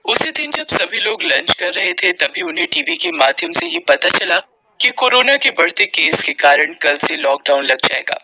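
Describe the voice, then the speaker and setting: A man narrating a scripted moral story as a storyteller, in a dramatic, expressive manner. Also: very high-pitched, normal speed, creaky, noisy background.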